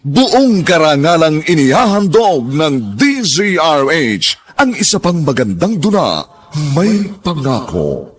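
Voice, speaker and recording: A man with a Filipino accent and husky voice, giving solemn, theatrical scripted narration as a radio drama host. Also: fairly high pitch, very animated. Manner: theatrical, solemn, drawn-out phrases.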